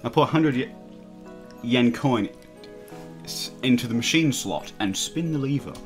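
A man's voice: mid-range voice, lively intonation, unhurried, music in the background. A man reading a visual novel aloud as a let's play host, casual and jokey, often stumbling over his words and giggling. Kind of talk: casual read-aloud with joking commentary.

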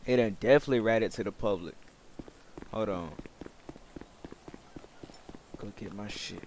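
A man's voice: on the low side, a little slow. A man giving casual, slangy, profane running commentary as he plays a roleplay video game, muttering to himself and viewers. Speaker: gaming video creator playing a roleplay game. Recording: loud vehicle and outdoor game noise behind. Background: loud game car and outdoor ambience.

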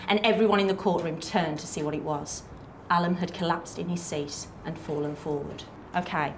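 A woman, a teacher addressing her class in a classroom. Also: on the low side. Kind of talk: addressing a class, reading aloud. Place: school classroom. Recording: echoey noisy classroom.

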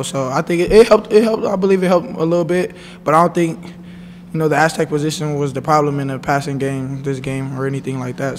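A young man, a college football player, answering reporters after a game in a relaxed, plain way with many fillers. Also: a little high, in a large hall, a little hiss or hum. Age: young adult. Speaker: college football defensive back. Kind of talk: postgame press conference answers. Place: press conference room, indoors. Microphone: table microphone.

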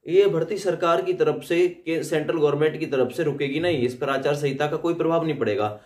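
A man's voice: on the high side, brisk, a little mellow. Echoey young man explaining. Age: young adult. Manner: repeats himself, frequent "hai na" tag questions. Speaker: host of exam update videos. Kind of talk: informal explainer addressed to students.

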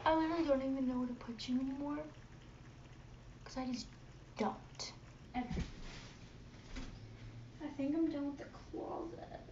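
A woman's voice: high-pitched, moderate pace, long pauses. A young woman vlogging while she tidies her bedroom, chatty and rambling, mock-dramatic about small things. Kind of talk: casual clean-with-me vlog chatter. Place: carpeted bedroom, indoors. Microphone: phone camera set across the room. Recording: echoey bedroom, dull phone audio with steady hiss.

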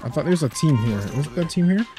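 A man with a Jamaican accent talking to his squad in casual gaming voice chat, giving quick repeated callouts. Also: a little high, expressive, music in the background. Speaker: squad teammate.

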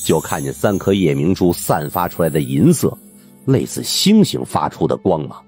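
A man narrating an audiobook in a colloquial, wry and expressive first-person storytelling style. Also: sits low, normal speed, slightly rough, faint music behind.

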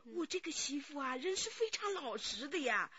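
A bright, very high voice swoops through the lines of anxious old woman in a radio drama. She is flustered and evasive, full of sighs and repeated pleas, fiercely protective of her son. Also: very animated, moderate pace, no echo, full character voice. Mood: resigned, pleading. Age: older. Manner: flustered, wailing, repeats herself, many sighs. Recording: slightly dull studio radio drama sound.

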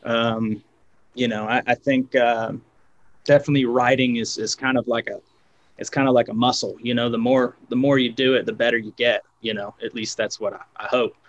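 A man's voice: medium pitch, fairly even intonation, moderate pace, lo-fi sound. A man in his thirties, a guest guitarist, talking casually on a video call with many fillers and restarts.

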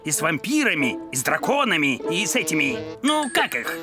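A man's voice: fairly high pitch, very animated, fairly quick, music in the background. A man voicing a playful, middle-aged cartoon dog father who brags in a grand, theatrical way. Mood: boastful. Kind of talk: animated cartoon acting.

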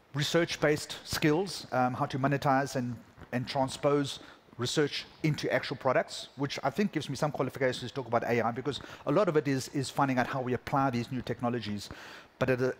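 A middle-aged man with a South African accent giving a conference keynote talk, relaxed and full of ums and restarts. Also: medium pitch. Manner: relaxed, thinking aloud with many ums and restarts. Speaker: conference speaker. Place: large conference hall.

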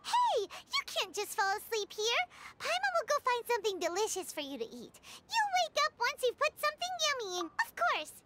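A woman voicing a tiny, chatty, fussy fairy companion girl with a squeaky childlike voice in a video game. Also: wildly swooping pitch, moderate pace, few pauses, heavy background noise, exaggerated voice. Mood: fussy concern. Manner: speaks of herself in third person.

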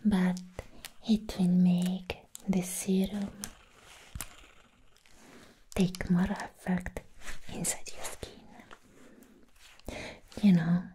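A woman whispering gently and playfully in an ASMR roleplay as a sweet, friendly vampire girl, breathy and soothing with long pauses. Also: fairly low pitch, little pitch movement, a little hiss or hum, rough recording. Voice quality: breathy, mostly unvoiced whisper.

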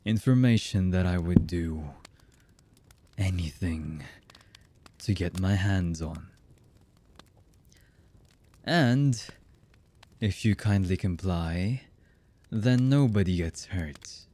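An actor playing a cold, heartless villain boss in an ASMR roleplay, with a husky voice and a menacing, mock-polite manner. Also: male voice, low-pitched, lively intonation, slow pace, pauses often, warm tone. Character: cold, heartless male villain boss, calmly threatening captives.

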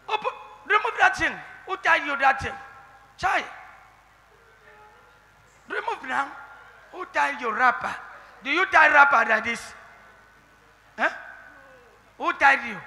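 A man with a Nigerian accent leading a deliverance session as a prophet, firing repeated questions and breaking into shouted decrees in a large church hall on a handheld mic. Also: very high-pitched. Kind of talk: deliverance prayer ministration. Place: large church hall indoors with a seated congregation. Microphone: handheld microphone. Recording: long reverb of a church hall.

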